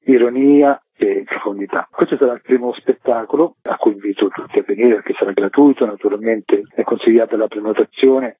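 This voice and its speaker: A man's voice: on the low side, slightly rough. A man being interviewed as a theatre festival co-director, explaining a programme in long, detailed, flowing sentences. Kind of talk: radio phone interview, explanatory rundown. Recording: muffled telephone line with echo.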